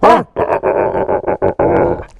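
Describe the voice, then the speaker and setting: A man's voice: very slow, phone-quality sound. A man voicing a gleefully murderous demonic video game plumber villain, taunting and menacing in an amateur horror audio drama. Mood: gleeful menace. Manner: taunting, menacing, wildly swooping delivery.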